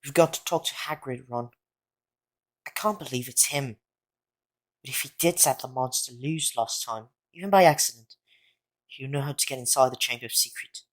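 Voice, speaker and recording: A man's voice: mid-range voice, moderate pace, long pauses, close to an everyday voice. A man performs a young schoolboy hero in an audio play. He sounds earnest, urgent and worried, in a mid-range youthful voice. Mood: hushed, determined. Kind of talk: fan audio drama acting.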